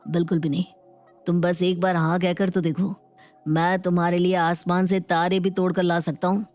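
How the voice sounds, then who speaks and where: A woman voicing a smooth-talking young man who sweet-talks and persuades in a dramatized moral story. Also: fairly low pitch, level tone, normal speed, darkish tone, soft background music, natural voice. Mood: persuasive, pleading. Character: smooth-talking young male online suitor, flattering and insistent.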